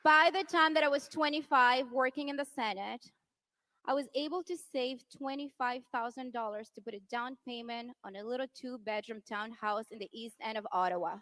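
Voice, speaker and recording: A woman in her thirties from Venezuela addresses a rally crowd with passion. Her voice sits high and rings bright. She builds to rousing, forceful peaks on the key lines. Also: normal speed. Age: 30s. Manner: impassioned, rising to rousing shouts on key lines. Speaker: politician's wife speaking at a rally. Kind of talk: political rally speech.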